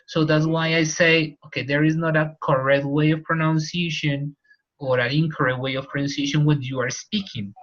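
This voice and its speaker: A man's voice: on the high side, steady tone, normal speed, a bit bright. A male teacher with a Colombian accent, explaining points in an online class and repeating key terms. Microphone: video call. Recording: muffled video call audio.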